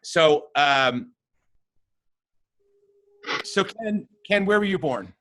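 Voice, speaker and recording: Halting middle-aged male host. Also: fairly high pitch, lively intonation, a bit bright, rough recording. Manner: hesitant, many restarts and fillers. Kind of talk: casual conversation with old friends.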